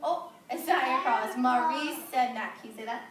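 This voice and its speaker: Female early-years teacher, high voice, interactive read-aloud with gentle correction, distant echoey recording. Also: normal speed. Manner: instructive, questioning, gently corrective, talks in simple classroom phrases. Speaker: preschool or early grade teacher. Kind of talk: reading a picture book aloud to young children, interactive. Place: classroom with children, recorded from a distance. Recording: echoey room, distant mic, noisy background.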